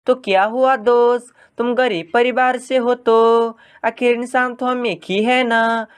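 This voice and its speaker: Cartoon rich boy bird, voiced by the narrator: high, smooth, friendly, lively intonation. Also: measured pace, natural voice. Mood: reassuring.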